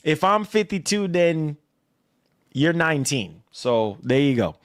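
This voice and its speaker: A man's voice: fairly high pitch, very animated, unhurried. A man in casual livestream chat, speaking emphatically in slang and repeating himself as he goes. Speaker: livestream host.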